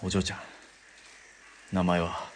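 A man's voice: deep voice, level tone, a little slow, slight background noise. A man playing a hot-tempered army deserter who talks in a blunt, barking way. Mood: gentle. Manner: blunt, barking, rough soldier talk. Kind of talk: amateur radio drama acting.

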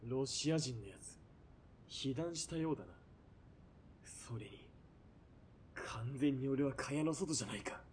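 A man's voice: medium pitch, animated, unhurried, long pauses, a little mellow, a little hiss or hum, close to an everyday voice. A man playing a sneering, scheming military police villain in an audio drama, taunting and theatrical. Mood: scheming, muttering. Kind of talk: Japanese audio drama acting.

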